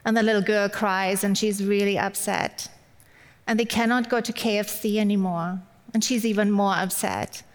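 A woman's voice: medium pitch, steady tone, moderate pace. A middle-aged woman from Germany with a German accent, giving a personal, lightly humorous church sermon as a guest speaker. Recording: long hall-like reverb.

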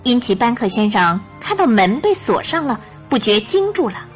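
A woman's voice: a little high, big pitch swings, normal speed. A woman narrating a scripted radio drama as a storyteller. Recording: radio drama with music underneath.

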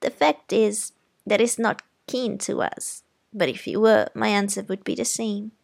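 A woman's voice: mid-range voice, unhurried, vocal fry, darkish tone. A woman reading aloud voices a stern, proper older aunt who speaks in a haughty, raised voice.

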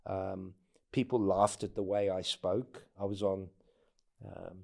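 A man speaking as an interview guest in casual anecdotal talk, often halting and repeating words as he goes. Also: low-pitched, little pitch movement, creaky, darkish tone. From born in North Africa, has lived in England and the United States. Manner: halting, stammered repetitions of words. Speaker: interview guest, former presidential candidate.

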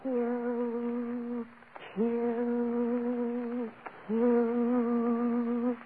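A ghostly woman intones single words in a dead-level, extremely slow chant, commanding and menacing. It sounds like vintage radio horror on a thin, tinny recording. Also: fairly high pitch, completely flat delivery. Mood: menacing, relentless. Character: spectral woman with burning eyes, commanding murder. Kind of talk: 1940s radio horror acting. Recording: tinny, noisy old-time radio broadcast.

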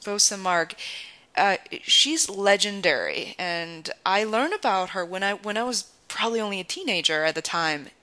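A woman's voice: medium pitch, lively intonation, normal speed, bright, forceful tone, poor audio. A woman with a Cantonese accent, a native Cantonese speaker, hosts an interview and asks polite, self-effacing questions. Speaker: interview host.

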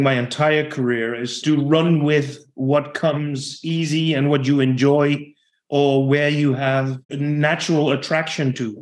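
A man from the Netherlands with a Dutch accent, a podcast guest giving long, reflective answers about his sales career. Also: a little high. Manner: reflective, talks in long explanatory runs. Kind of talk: podcast interview.

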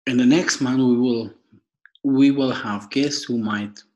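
A young man from Afghanistan with an Afghan accent, giving a prepared podcast introduction in a formal, halting way, often repeating and rephrasing. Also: medium pitch, steady tone, a little mellow, dull audio. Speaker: podcast host and foundation worker.

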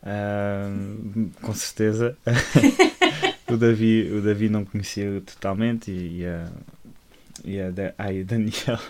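A man's voice: on the low side, little pitch movement, takes it slowly, a little mellow, slight background noise. A man hosts a casual podcast interview in a friendly back-and-forth. He laughs often, stumbles over his words, and circles back to restart his questions. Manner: laughing, hesitant, many fillers and restarts. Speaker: podcast host.

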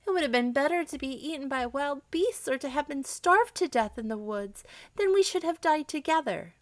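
A young fairy-tale girl, acted by a woman, raised high above the reader's usual voice. She sounds earnest and plaintive, in a dry, close storybook recording. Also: animated, fairly quick, no echo. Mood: grieving. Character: young girl, frightened but clever storybook heroine.

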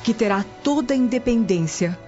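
An actress playing a solemn, magnanimous noble Roman lady in a radio soap opera, speaking deliberately. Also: fairly high pitch, expressive, close to an everyday voice. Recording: dull audio under loud music. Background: music.

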